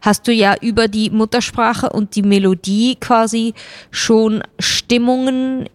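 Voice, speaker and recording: A woman's voice: on the high side, little pitch movement, unhurried. A woman hosting an interview, musing thoughtfully and reflectively. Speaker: interviewer, host.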